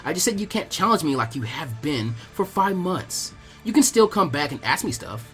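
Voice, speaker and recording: A man's voice: fairly high pitch, very animated, normal speed, fairly bright tone, faint music behind. A man voicing a teenage girl ninja in a story reading, casual and teasing, a supportive mentor to a younger one. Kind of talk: audiobook character acting.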